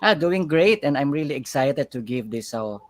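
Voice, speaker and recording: A man's voice: fairly high pitch, expressive, normal speed. A man from the Philippines, a leadership coach, giving a live webinar talk in an upbeat way and speaking straight to the audience. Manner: upbeat, engaging, talks to the audience directly.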